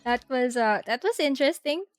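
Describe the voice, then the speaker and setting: A woman's voice: high voice, animated, moderate pace, soft background music. A woman streamer thinking aloud in a casual, giggly way as she plays a video game live.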